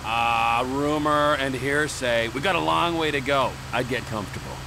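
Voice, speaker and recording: A man's voice: medium pitch, normal speed, natural voice. An actor playing a volatile, menacing middle-aged criminal trucker in a video game, sardonic and profane, drawing out his words. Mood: sly, sardonic. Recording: vehicle noise behind the voice.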